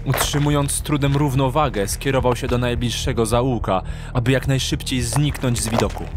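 Slightly higher-pitched male dramatic narrator. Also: fairly even intonation, normal speed. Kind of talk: dramatic scripted audiobook narration. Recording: clear music behind the narration.

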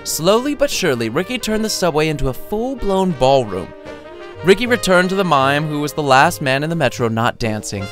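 A man's voice: on the high side, very animated, normal speed, faint music behind. A man reading his own short story as scripted audiobook narration, with a wry, playful storytelling manner.

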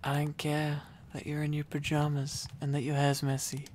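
A man's voice: on the high side, completely flat delivery, moderate pace, darkish tone. A man doing a boyfriend ASMR roleplay in a playful, teasing, affectionate way, rambling intimately as a needy, silly boyfriend close to the microphone.

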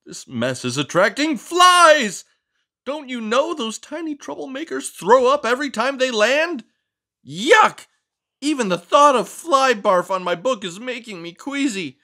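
Guy doing a fussy storybook frog, super melodramatic, high voice swooping all over. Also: pushed and bright, full character voice. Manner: exasperated, melodramatic exclamations. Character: fussy, neat-freak male frog, excitable and melodramatic. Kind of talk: animated storybook read-aloud.

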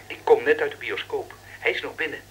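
An actor playing an eager, excitable field reporter in a radio drama, confiding down a telephone line. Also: male voice, a little high, fairly quick, a little hiss or hum. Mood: excited.

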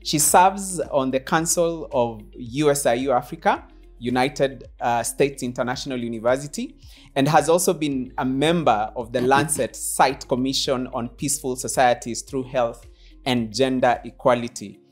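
Host, effusive, repeats words, studio lounge set, clip-on mic, faint music underneath. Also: female voice, a bass voice, normal speed. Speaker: talk show host. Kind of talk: warm formal interview introduction.

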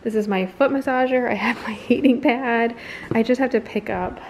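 A woman's voice: fairly high pitch, moderate pace, slight background noise. A woman in her thirties chatting casually, as a vlogger does while showing viewers around at home. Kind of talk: casual chatty vlog talk. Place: indoors in a house living area.

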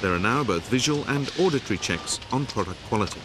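A man giving scripted, measured narration for a factory training film, recorded as a studio voice-over. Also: on the low side, lively intonation. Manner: measured, explanatory. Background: background music.

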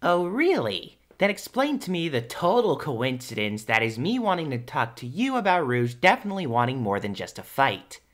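A man's voice: mid-range voice, huge pitch swings, normal speed, few pauses. A man playing a brash, teasing young cartoon hedgehog hero in a casual fan audio drama. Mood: cheeky.

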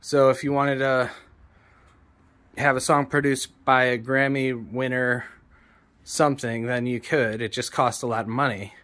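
A man's voice: mid-range voice, level tone, a little slow, a bit bright. A man in his forties, a songwriter and producer, explaining his process in a casual vlog, rambling and correcting himself, recorded on a phone held at arm's length in a small room. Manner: rambling, self-correcting, plain-spoken.

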